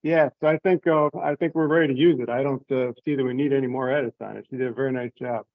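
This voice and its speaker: A man's voice: medium pitch, steady tone, quick pace, dry recording. A man informally presenting slides in an online meeting, thinking aloud in a hesitant way. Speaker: telecon presenter walking through slides. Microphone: online conference call. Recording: narrow tinny conference-call line.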